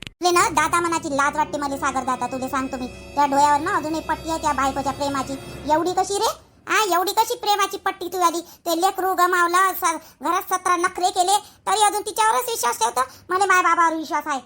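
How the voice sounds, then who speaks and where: A woman's voice: extremely high pitch, fairly quick, bright, forceful tone, faint music behind. A woman playing a fiery young sister who scolds and threatens. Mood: scolding. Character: fiery young sister loyal to her parents. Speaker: actor in a family drama skit.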